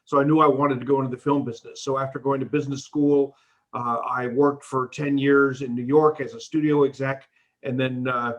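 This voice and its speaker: A middle-aged American man answering questions about his career in a relaxed, plain-spoken way on a video call. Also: mid-range voice, a little fast, lo-fi sound. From United States. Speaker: guest.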